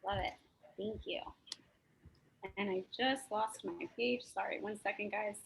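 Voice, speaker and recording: A woman hosting a video call, calling names and warmly welcoming each speaker. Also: medium pitch, steady tone, creaky, slight background noise, low-quality recording. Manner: upbeat, quick thanks and welcomes. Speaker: host. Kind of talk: casual introductions on a video call.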